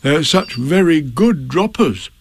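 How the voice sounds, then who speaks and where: A placid, slow-witted storybook bear, acted out by a man. He draws his words out very slowly and explains everything matter-of-factly. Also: on the high side, lively intonation, creaky, husky, pitched above the performer's own voice, heavily acted. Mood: placid wonder. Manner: slow, drawn-out, matter-of-fact.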